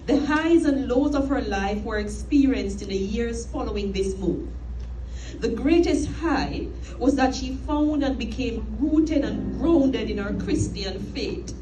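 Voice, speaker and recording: Eulogist: middle-aged Jamaican woman, formal and measured, recorded off-mic in a very reverberant church. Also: fairly high pitch. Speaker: eulogist, sister of the deceased. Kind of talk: scripted eulogy read aloud. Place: inside a church. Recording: echoey church, distant mic, music behind.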